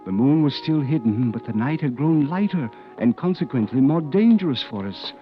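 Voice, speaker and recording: A man's voice: mid-range voice, expressive, moderate pace, lightly acted. An actor playing an anxious, exasperated smuggler who tells his own tale in tense, literate 1940s radio drama style. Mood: suspenseful. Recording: dull old radio recording with music.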